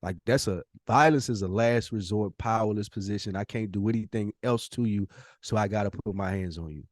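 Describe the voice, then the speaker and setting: A man's voice: on the low side, fairly quick, no echo, clean studio sound. A man hosting a podcast, talking casually and giving opinions in an emphatic, slangy way with rhetorical questions. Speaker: podcast host.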